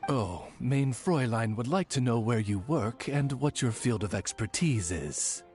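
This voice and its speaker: A man's voice: medium pitch, animated, measured pace, a little mellow, close to an everyday voice. Man as formal raven retainer Oz. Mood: deferential. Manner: formal, butler-like. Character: dignified articulate raven retainer, loyal and deferential. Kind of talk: video game voice acting. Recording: game music behind the voice.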